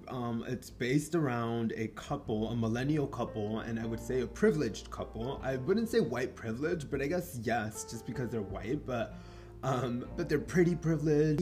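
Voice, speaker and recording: Podcast host, male, Mexican-born, Spanish first language; mid-pitched, rambly film chat over faint music. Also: darkish tone. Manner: rambling, many fillers and restarts, swears casually. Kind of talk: casual film review chat.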